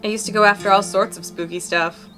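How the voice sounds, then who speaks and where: A woman's voice: mid-range voice, steady tone, fast talker, over music, close to an everyday voice. A woman playing a chatty, upbeat young cryptid hunter who makes videos, with an earnest, folksy way of talking in fan-dubbed game dialogue. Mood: candid, earnest.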